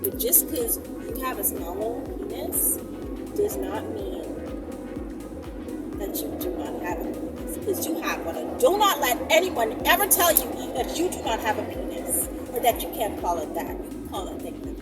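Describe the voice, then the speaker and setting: A woman's voice: fairly high pitch, big pitch swings, normal speed. A woman vlogger chatting casually and directly to her viewers in a teasing, emphatic, mock-sympathetic manner. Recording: distant mic, loud music behind.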